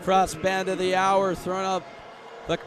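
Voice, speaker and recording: A man doing live basketball radio play-by-play as the announcer, with excited bursts. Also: sits high, a little slow, noisy background. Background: basketball arena ambience, bouncing balls.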